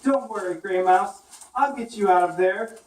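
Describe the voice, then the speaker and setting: A woman's voice: medium pitch, normal speed, pitched above the performer's own voice, lightly acted. A woman voicing a bold, kind-hearted male mouse in a puppet story, speaking earnestly and frankly. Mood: concerned. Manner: earnest, outspoken. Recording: echoey, distant mic, faint noise.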